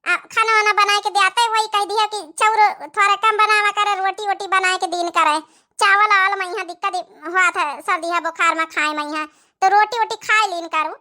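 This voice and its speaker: An extremely high, bright cartoon voice from a woman actor plays a young married village woman with an Awadhi accent. She is talkative and caring, stretching out her rustic phrases like a fussing daughter in a comedy. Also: fairly even intonation, normal speed. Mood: concerned, insistent.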